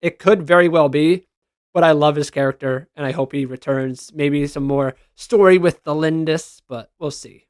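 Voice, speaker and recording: A man's voice: fairly high pitch, normal speed, no room sound. Male game reviewer, upper-register voice, informal and enthusiastic fan delivery, very dry sound. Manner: informal, gushing fan talk. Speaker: video game reviewer and reaction host. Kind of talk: casual enthusiastic commentary over screenshots.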